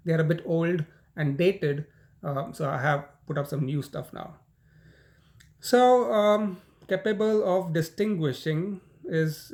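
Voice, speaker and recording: A man's voice: a little high, a little mellow. A middle-aged man with an Indian accent gives a law lecture in a conversational way, often saying "um" and "you know" and repeating words as he goes. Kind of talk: recorded university lecture.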